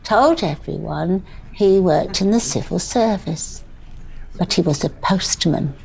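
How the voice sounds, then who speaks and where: An actress playing a grieving older widowed mother in a drama, rambling and plaintive, with deadpan wit and stubborn pleading. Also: fairly low pitch, slow pace, gravelly, poor audio. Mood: bitter, wry.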